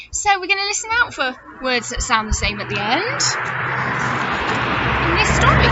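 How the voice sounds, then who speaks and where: A high-pitched woman leads storytime for little children in the manner of a nursery teacher. Her delivery is slow and swoops widely, with exaggerated emphasis and direct questions to her young listeners. The recording is a slightly muffled home video with faint noise.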